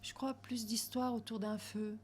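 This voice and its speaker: A woman's voice: fairly high pitch, flat delivery, unhurried, slight background noise. A woman film director talking as a guest in a café, reflective, with frequent fillers. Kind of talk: casual interview chat.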